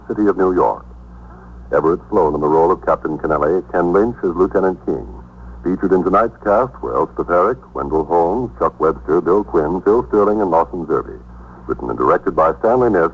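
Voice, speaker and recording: A man announcing formally in a measured 1950s radio style. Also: sits low, slightly rough. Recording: narrow-band, muddy 1950s radio recording.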